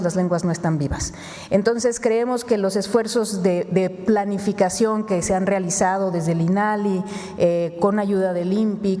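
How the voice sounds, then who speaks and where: A woman's voice: medium pitch, dark tone. A woman official explaining policy at a press conference, with fillers as she goes. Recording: long hall reverb.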